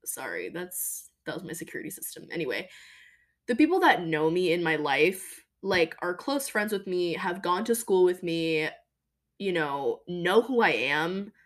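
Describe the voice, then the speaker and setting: Young woman, podcast host, lowish deeper voice, chatty, emphatic, personal monologue. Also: big pitch swings, measured pace. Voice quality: deeper voice than most women. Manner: chatty, emphatic, lots of fillers.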